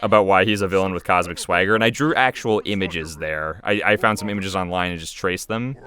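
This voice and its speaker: A man giving casual, rambling, self-critical commentary on his own animated series as its creator. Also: deep voice, brisk.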